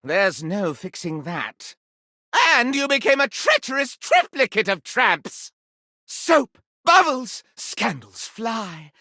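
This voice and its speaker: A man's voice: very high voice, sing-song, slow, pushed and bright, no room sound, studio quality, full character voice. A man voicing a flamboyant, prudish living flame in a video game, declaiming pompously and melodramatically in archaic, wordy phrases. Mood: mock outrage. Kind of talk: video game character voice acting.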